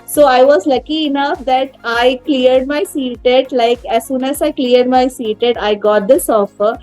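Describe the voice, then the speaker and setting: An Indian woman in her thirties talks earnestly as an interview guest, her voice high and warm. She pauses often with fillers and restarts her sentences. Faint music sits underneath. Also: fairly even intonation. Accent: Indian English. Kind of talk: casual interview talk.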